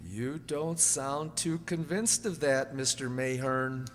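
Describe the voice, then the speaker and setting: A man's voice: mid-range voice, slow pace, lightly acted. A man playing a desperate accused man in a radio drama, pleading his innocence with agitation. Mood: anxious, pleading. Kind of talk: stage-style radio drama acting. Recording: noisy recording in a reverberant hall.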